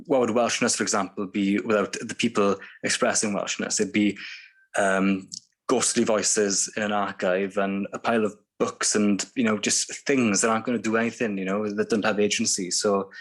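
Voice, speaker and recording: A man on a panel argues his case, peppering his speech with "you know" and "um". His voice sits a little low for a man. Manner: argumentative, many fillers like "you know". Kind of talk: panel discussion. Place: bookshop event room.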